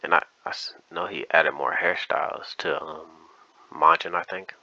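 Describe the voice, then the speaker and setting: A man's voice: rumbling low, normal speed, vocal fry, pushed and bright, no echo, dull audio. A man giving casual gameplay commentary, hesitant and thinking aloud as he goes, often trailing off. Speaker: gaming video host.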